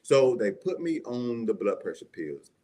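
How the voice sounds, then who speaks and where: A man's voice: mid-range voice, big pitch swings, unhurried, warm tone. A man with a Southern US accent, an assistant pastor telling his personal testimony as an interview guest in a folksy, conversational way with frequent check-ins. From Mississippi, United States.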